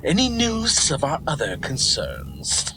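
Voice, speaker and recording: A cruel snake lord of fantasy audio drama. He savors his words slowly, mocking and grandiose, with big swoops in pitch. Clear noise sits around the voice. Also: huge pitch swings, exaggerated voice. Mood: gloating. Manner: theatrical, mocking, sneering. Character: scheming gloating male snake villain lord, grandiose and cruel. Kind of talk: fan audio drama villain acting.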